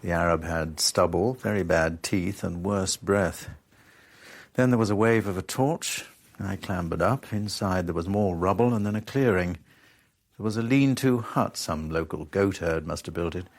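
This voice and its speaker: Low-voiced, dry newspaperman. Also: normal speed. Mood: dry narrating. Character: dry, sceptical male American foreign correspondent. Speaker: actor playing a journalist and narrator. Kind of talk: radio drama narration and dialogue.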